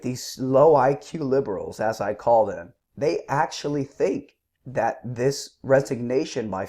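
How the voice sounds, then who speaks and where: A man with a sore throat giving combative, scornful political commentary, clearing his throat now and then. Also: mid-range voice, mellow. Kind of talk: opinionated commentary.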